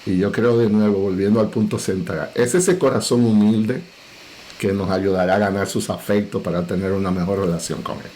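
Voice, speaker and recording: Low-voiced Dominican pastor. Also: male voice, steady tone, normal speed, warm tone, slight background noise. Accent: Dominican, Cibao. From Dominican Republic.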